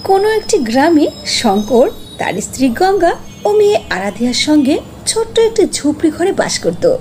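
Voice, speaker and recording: Female storyteller, high pitch, lively intonation, scripted cartoon fairy tale, faint background noise. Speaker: narrator.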